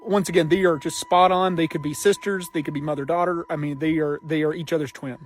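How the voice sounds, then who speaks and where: A high-voiced man with a Southern US accent hosts a casual commentary video. He talks straight to viewers in an animated, emphatic way, often repeating a phrase to hammer it home. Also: quick pace. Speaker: host of a pop culture video show.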